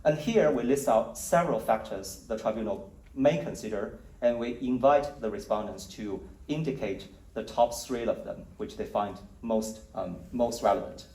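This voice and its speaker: A man presenting survey results at a conference, speaking in a measured, explanatory way with occasional fillers. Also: fairly low pitch, a little mellow. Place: conference hall. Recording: roomy hall audio, mic at a distance.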